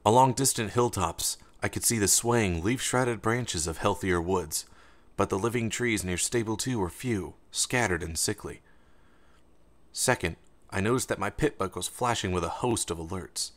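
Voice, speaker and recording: A man reading first-person fiction as an audiobook narrator, in a measured, dramatic way. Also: fairly low pitch.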